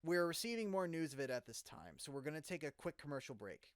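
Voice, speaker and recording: Man acting, male radio drama news host, very lively intonation, incredulous, colloquial, dry close sound. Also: on the high side, quick pace, smooth, no echo. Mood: serious, briefing. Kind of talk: dramatized live radio broadcast.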